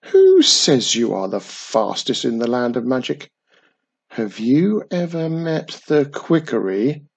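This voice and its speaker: A storyteller voicing a wary little old man who tells spooky tales slowly and with emphasis. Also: medium pitch, wildly swooping pitch, vocal fry, husky, exaggerated voice. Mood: dramatic. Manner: slow, emphatic, dramatic. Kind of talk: storybook reading.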